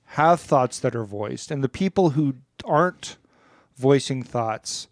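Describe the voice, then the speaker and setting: A man co-hosting a comedy podcast, musing in a halting, mock-earnest way about absurd ideas in casual chat. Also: mid-range voice, animated, no echo, studio quality.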